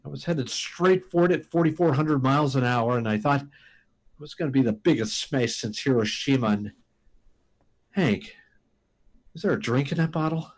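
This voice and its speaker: An actor playing a desperate, insistent rocket pilot in an old-time radio science fiction drama, speaking in halting, urgent bursts. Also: male voice, medium pitch, lightly acted. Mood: shaken, urgent.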